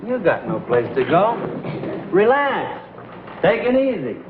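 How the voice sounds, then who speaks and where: An actor playing a small-time bullying gangster with tough talk in a 1960s broadcast comedy sketch. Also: male voice, high voice, big pitch swings, measured pace. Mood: taunting. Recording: tinny echoey old broadcast, loud audience noise.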